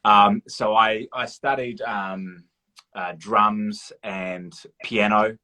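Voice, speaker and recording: A man's voice: fairly low pitch, fairly even intonation, extremely slow, bright, forceful tone. A man chatting casually as an interview guest, informal and modest, feeling his way through his answers. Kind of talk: casual chat.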